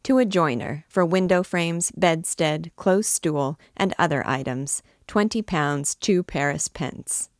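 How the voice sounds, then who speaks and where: A woman voicing a dutiful male clerk reading out costs in a measured, listing manner. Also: on the high side, no room sound, studio quality.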